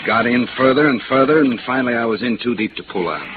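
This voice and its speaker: An actor playing a bitter, lovestruck American embezzler on the run, defensive and resigned, in 1950s radio noir. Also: male voice, mid-range voice, fast, lightly acted. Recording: noisy, narrow-band vintage radio recording.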